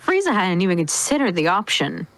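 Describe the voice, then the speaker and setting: A woman giving an expressive scripted narration as a reader in a dramatic reading. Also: lowish voice, brisk, dry recording, poor audio.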